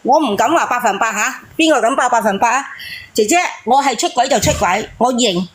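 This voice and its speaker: A woman's voice: fairly high pitch, a little fast, husky. A woman with a Cantonese accent hosting a call-in show, bluntly arguing and mocking, repeating phrases for emphasis. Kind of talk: casual, argumentative phone-in talk.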